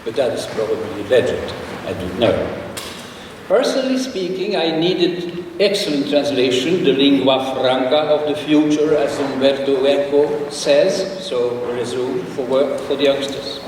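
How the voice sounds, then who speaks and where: A man's voice: mid-range voice, animated, darkish tone, distant mic. Older man in an echoey hall. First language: Dutch. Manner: measured, slightly halting, with learned asides. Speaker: conference speaker. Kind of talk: formal conference address. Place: large conference hall. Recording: echoey hall, picked up from afar, with noise.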